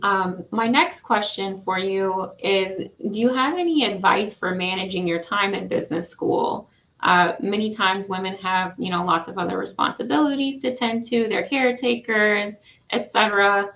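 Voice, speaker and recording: A woman's voice: mid-range voice, moderate pace, fairly bright tone, echoey room, poor audio. A woman moderating an online panel on a video call, asking questions and thanking the guest politely.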